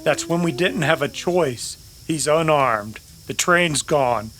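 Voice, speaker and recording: Principled Old West hero played by a man: raised pitch, slow earnest delivery, urgent, western audio drama. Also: animated, fairly bright tone. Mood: urgent pleading. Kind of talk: old-time western radio drama acting.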